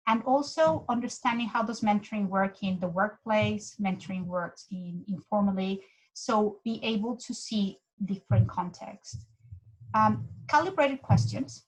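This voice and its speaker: A woman's voice: mid-range voice, rough recording. Woman giving a talk. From Mexico. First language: Spanish. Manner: earnest, with frequent restarts and repeated words. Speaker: guest speaker. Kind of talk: presentation talk.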